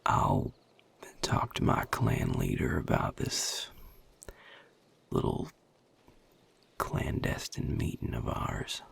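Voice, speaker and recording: A man playing a guarded, world-weary vampire in an intimate audio roleplay, with a Southern US accent and a breathy, gravelly drawl, speaking in measured, hushed phrases. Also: rumbling low, huge pitch swings, full character voice. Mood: guarded, reluctant. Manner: measured, hushed, plain-spoken with deliberate pauses. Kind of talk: intimate audio roleplay acting addressed to the listener.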